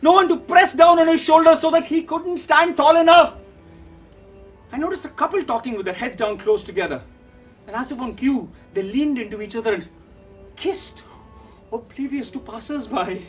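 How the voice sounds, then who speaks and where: Man acting a high-voiced, theatrical young Indian man. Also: moderate pace, recorded from a distance, faint music behind. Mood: reflective. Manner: theatrical, declamatory, swinging from hushed to shouting. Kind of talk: dramatic monologue storytelling.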